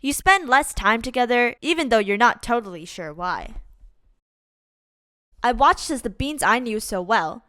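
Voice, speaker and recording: A woman reading a children's picture book aloud as a storyteller, in an expressive, deliberate and dramatic way. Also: a little high, smooth, no echo, clean studio sound. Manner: deliberate, dramatic delivery with acted-out exclamations. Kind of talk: expressive read-aloud storytime narration for kids.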